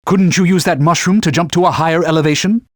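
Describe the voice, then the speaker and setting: An actor playing a stern, proud talking sword who guides a hero with formal, old-fashioned speech in a video game. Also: male voice, on the high side, fairly even intonation, fast talker, dry recording, clean studio sound, natural voice. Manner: formal, stern, archaic phrasing. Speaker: sword companion giving gameplay hints. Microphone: dry studio booth.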